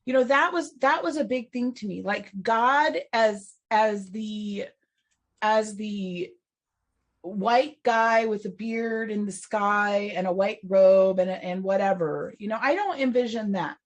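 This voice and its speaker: Slow, reflective woman with halting speech. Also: mid-range voice, highs cut off. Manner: reflective, halting, restarts phrases, laughs between thoughts. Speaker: podcast co-host. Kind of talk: casual chat on recovery and spirituality.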